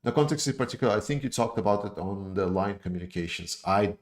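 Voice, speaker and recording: Low-voiced man answering viewer questions. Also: animated, quick pace. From Latvia. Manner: casual, with many fillers, restarts and stammered repeats. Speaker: YouTube channel host. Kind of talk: live Q&A reading viewer questions.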